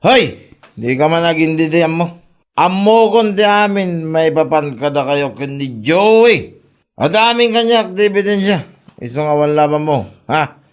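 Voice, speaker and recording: A man's voice: on the high side, expressive, a little slow, smooth. A man playing an angry, betrayed husband who scolds and accuses, in a comedic audio drama.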